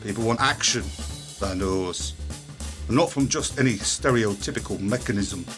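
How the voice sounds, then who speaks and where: A man's voice: fairly low pitch, moderate pace, music in the background, close to an everyday voice. Man doing a blunt Northern English ventriloquist dummy. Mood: blunt, scolding. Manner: dialect-heavy, blunt, scolding. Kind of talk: old-time radio comedy character.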